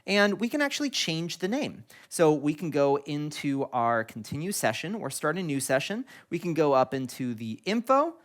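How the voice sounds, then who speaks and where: A man's voice: a little high, fairly bright tone. A man hosting an instructional product tutorial, explaining things step by step. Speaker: tutorial video host.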